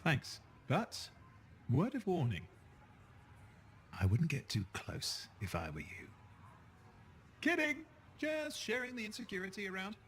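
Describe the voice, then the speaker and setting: A man's voice: high-pitched, sing-song, a little slow, pauses often, fairly bright tone, slight background noise, heavily acted. A man playing a chatty, boastful but insecure young sidekick in a sci-fi video game, playful and self-mocking. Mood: cheeky, boastful. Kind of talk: acted game dialogue.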